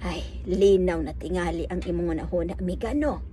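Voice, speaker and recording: A woman's voice: fairly low pitch, normal speed, mellow, a little hiss or hum, natural voice. A woman playing a chatty, nosy village friend in a radio drama, exclamatory and gossipy. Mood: reassuring.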